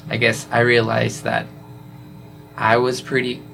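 A man's voice: mid-range voice, a little slow, creaky, over music. A young man being interviewed about his study abroad semester, talking casually with many filler words. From South Korea. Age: young adult. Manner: relaxed, lots of "like" fillers. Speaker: study abroad student guest.

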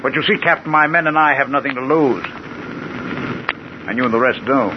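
A man's voice: mid-range voice, fairly quick, close to an everyday voice. An actor playing a coolly threatening, commanding male gang leader in an old-time radio thriller. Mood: cool menace. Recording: muffled, very noisy old radio recording.

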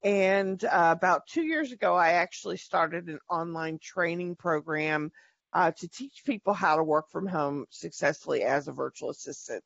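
A woman panelist introducing herself in a halting way with fillers on a video call. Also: lowish voice, vocal fry, no echo. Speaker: panelist, virtual assistant trainer. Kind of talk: casual self-introduction. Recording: dull, dry video call audio.

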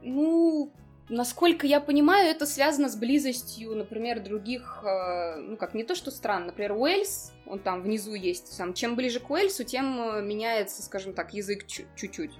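A woman's voice: fairly high pitch, normal speed, soft background music. A young woman in a casual conversation, explaining at length with lots of fillers. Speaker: interview guest.

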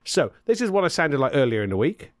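A man's voice: fairly high pitch, sing-song, fast, no room sound, studio quality. A man hosting a podcast, talking in a chatty, rambling way with some fillers. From Britain. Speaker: podcast host and author. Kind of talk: casual podcast chat.

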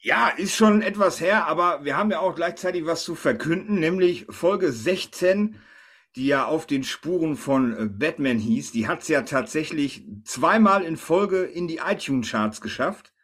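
A man's voice: a little high, lively intonation, normal speed, a bit bright, breathy edge. A man hosting a casual podcast in a chatty, teasing way. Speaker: podcast host.

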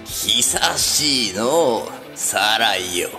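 A man playing a calm, kindly old wizard master with archaic, elderly phrasing in a fantasy audio drama. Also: on the low side, drawn-out words, over music, exaggerated voice. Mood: commanding, unruffled. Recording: long hall reverb.

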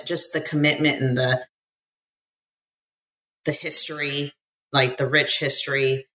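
A woman's voice: extremely low pitch, fairly bright tone, low-quality recording. An American woman from the United States talking as an interview guest in a chatty way, with many fillers and easy laughs. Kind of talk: casual interview conversation.

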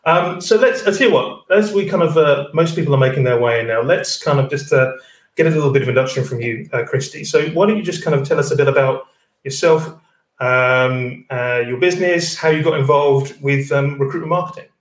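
Male host, mid-pitch, rambling and casual, distant roomy webinar sound. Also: a little fast. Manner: rambling, lots of fillers and "kind of". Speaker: stand-in host. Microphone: video call.

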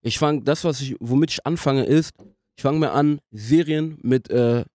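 A young man speaking casually as a podcast guest, in a halting way as he searches for words. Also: medium pitch, darkish tone, dry recording, studio quality. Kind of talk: casual interview conversation.

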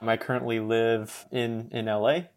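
Halting young man from Los Angeles. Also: medium pitch, little pitch movement, smooth. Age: 20s. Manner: halting, many fillers, laughs easily. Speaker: podcast guest. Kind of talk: casual conversation.

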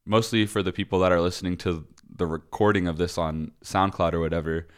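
Young male host with a low voice. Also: steady tone, brisk, clean tone. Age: young adult. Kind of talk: casual podcast chat.

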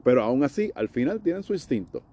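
A man's voice: mid-range voice, sing-song, fast, dark tone. A man with a Puerto Rican accent talking in a casual debate, emphatic, mixing English words and swearing into his Spanish.

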